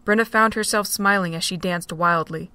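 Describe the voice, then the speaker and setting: A woman narrating an amateur audio drama in a steady, plain reading. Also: lowish voice, moderate pace, no echo. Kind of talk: storybook narration.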